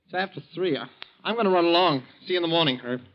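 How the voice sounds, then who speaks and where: An actor playing an anxious, defensive young bank teller in 1940s radio style, curt and stammering. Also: male voice, a little high, fast, close to an everyday voice. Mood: uneasy, evasive. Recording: dull, lo-fi 1940s radio recording.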